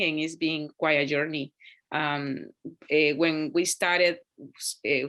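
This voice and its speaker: A woman's voice: low voice, fairly bright tone. A woman from Honduras, speaking as a panel guest on a video call in an easygoing way full of fillers.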